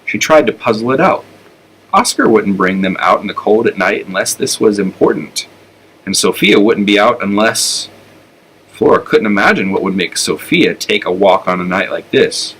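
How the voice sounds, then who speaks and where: A man reading a children's story aloud with expressive narration. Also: fairly low pitch, breathy edge, poor audio. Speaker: elementary school storyteller.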